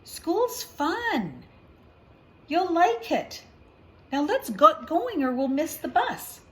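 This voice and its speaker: A woman voicing a confident boy bear, a brisk and reassuring older brother in a children's storybook. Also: high-pitched, big pitch swings, fairly bright tone, voice pushed up, full character voice.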